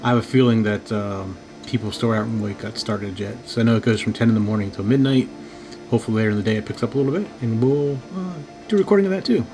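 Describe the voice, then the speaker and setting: A man hosting a casual, unscripted radio hobby video, talking in a halting, low-key way as he thinks aloud. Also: music in the background, low-quality recording. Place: home radio shack.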